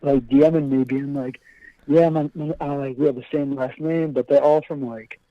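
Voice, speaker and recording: A young man from Boston, a guest on a casual chat, laughing often and talking in a relaxed way over a remote call. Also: medium pitch, normal speed, no room sound. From Boston, USA. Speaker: guest, fighter. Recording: dry, dull call audio.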